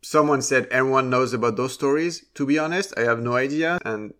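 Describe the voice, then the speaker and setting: A man's voice: medium pitch, brisk, fairly bright tone. A man hosting a casual podcast interview, asking questions and often stumbling over his words. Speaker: podcast host.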